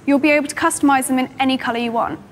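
A woman's voice: high-pitched, steady tone, racing, close to an everyday voice. A woman playing a dry-witted English student coder. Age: young adult. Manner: dry, sarcastic, a little flustered. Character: young English female student programmer, awkward but dry.

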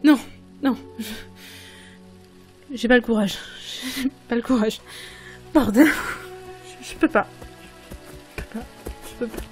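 A woman playing a sardonic, flustered editor trapped as a fantasy princess, halting, blunt and exasperated, in an audio drama. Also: a little high, soft background music, natural voice. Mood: panicked.

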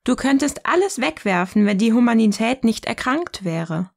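Eine Frau spielt in einem Hörspiel eine provokante, ironische Gesprächspartnerin, die bedächtig und neckend philosophiert. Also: female voice, fairly high pitch, sing-song, moderate pace, vocal fry, heavily acted. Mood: ironic, provocative. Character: provocative, ironic woman sparring in philosophical dialogue. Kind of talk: scripted audio drama dialogue, philosophical banter.

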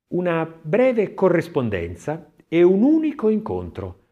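A male audiobook narrator with a medium-pitched voice reads a prepared script. His delivery is measured and theatrical, with very lively, varied intonation. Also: slightly rough.

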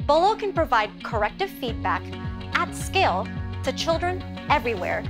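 A woman's voice: high voice, very animated, normal speed. A woman in her thirties presenting as host, in a scripted, to-camera style. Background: background music.

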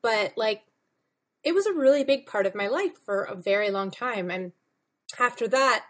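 A woman's voice: fairly high pitch, animated, moderate pace, a bit bright. A woman in her thirties talking casually and informally as a guest in an interview. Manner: chatty, informal, peppered with "like". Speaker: podcast guest, comic book writer and editor. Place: home room with bookshelves, video call.

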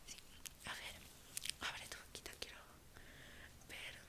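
Young woman whispering softly, ASMR roleplay. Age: young adult. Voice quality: breathy whisper. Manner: soft, reassuring, unhurried. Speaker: ASMR roleplayer playing a dentist. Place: small indoor room.

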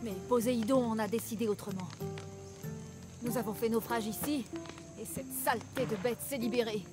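A woman's voice: a little high, normal speed, music in the background, lightly acted. An actress playing a wounded young female smuggler in a French game dub, weary and sardonic. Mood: distressed.

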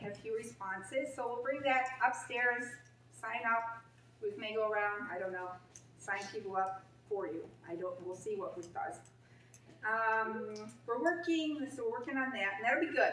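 A woman's voice: fairly high pitch, normal speed, slight background noise. An older woman making casual, joking church announcements in a sanctuary. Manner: chatty, joking, animated. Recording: echoey church from a distance.

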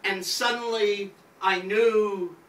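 A man's voice: high voice, steady tone, takes it slowly, a bit bright. An older man, a poet, giving a wry live poetry reading, projecting to a small room. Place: small crowded indoor venue, on stage. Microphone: distant camera from the audience. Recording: roomy, distant audience recording with background noise.

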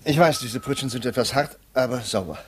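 An actor playing a polite, officious defence lawyer in a 1950s German radio play, formal, hesitant and placating. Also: male voice, medium pitch, fairly quick, slight background noise, natural voice. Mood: polite, reassuring.

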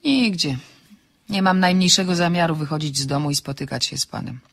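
An actress playing a witty, outspoken single woman in an audio drama, bantering briskly with polite irony. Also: low-pitched, a bit bright. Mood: wary, curious. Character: witty, outspoken single woman writer, teasing and self-ironic. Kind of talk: Polish audio drama dialogue.

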